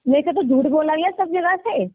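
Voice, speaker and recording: A woman's voice: high-pitched, brisk, dry recording. A woman complaining in an agitated phone conversation, aggrieved and insistent, repeating herself over a narrow-band line. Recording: tinny, narrow-band phone call recording.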